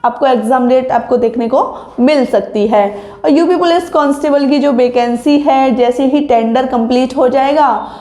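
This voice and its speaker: A woman's voice: sits high, steady tone, moderate pace, dark tone. A young woman giving informal exam advice to viewers, explaining like a coach and often checking that they follow. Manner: didactic, frequent checking tag questions. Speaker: exam-preparation coach and video host. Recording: echoey untreated room.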